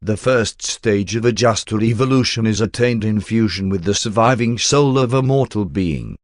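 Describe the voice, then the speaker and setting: A man reading a scripted religious text like an audiobook narrator, in a formal, measured and carefully enunciated manner. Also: fairly low pitch, dry recording, studio quality.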